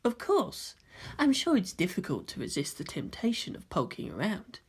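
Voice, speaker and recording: A man's voice: high voice, huge pitch swings, normal speed, breathy edge, full character voice. Man playing a polite, subtly menacing agent partner. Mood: slyly reassuring. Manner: polite, insinuating. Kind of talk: dramatised fan fiction reading.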